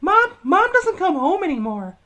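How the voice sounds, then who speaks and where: A man's voice: very animated, moderate pace, pushed and bright, full character voice. A man voicing a needy, whiny young boy who keeps calling for his dad. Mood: whiny pleading. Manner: shrill pleading repeated calls.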